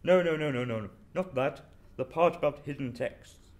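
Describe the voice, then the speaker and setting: A man's voice: on the high side, normal speed, darkish tone, natural voice. A man playing an arrogant, brilliant, easily bored detective in an audio drama, with a dismissive, clipped and condescending manner. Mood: urgent, brisk.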